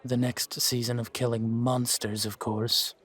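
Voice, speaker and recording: Guy acting as a tired monster slayer in a fantasy audio rp, really slow n flat, laconic, thinks out loud. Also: mid-range voice, breathy edge. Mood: relaxed, musing. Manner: laconic, musing, measured with pauses. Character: male Witcher, world-weary, laconic monster-slaying mercenary. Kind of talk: fan-made roleplay audio drama, unhurried monologue to a companion.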